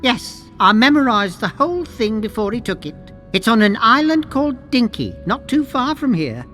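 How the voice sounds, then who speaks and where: A man's voice: extremely high pitch, sing-song, normal speed, pushed and bright, soft background music, full character voice. A man voicing a tiny, fussy, timid male cartographer in a pirate video game, easily indignant.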